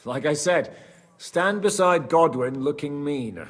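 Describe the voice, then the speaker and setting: An actor playing a practical, plain-spoken leader of a medieval band in a naturalistic game cutscene. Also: male voice, fairly high pitch, lively intonation, takes it slowly. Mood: brisk, instructing. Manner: blunt, matter-of-fact.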